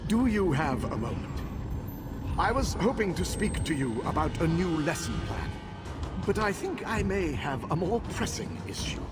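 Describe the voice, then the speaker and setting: War wizard and magic teacher: male, formal, earnest, lively intonation, fantasy game with music underneath. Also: high-pitched, normal speed. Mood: earnest, tense. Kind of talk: fantasy video game voice acting.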